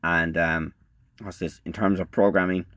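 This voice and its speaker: Guy with a really deep voice doing an off the cuff product demo, kinda halting and matter of fact. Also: level tone, heavy background noise. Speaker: product reviewer. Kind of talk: casual unscripted product demonstration.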